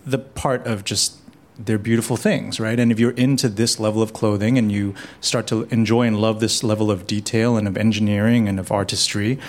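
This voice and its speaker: A man speaking as a guest in a live interview, giving measured, orderly explanations. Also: lowish voice, fairly even intonation, darkish tone. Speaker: guest, menswear business co-founder. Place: live event in a menswear store.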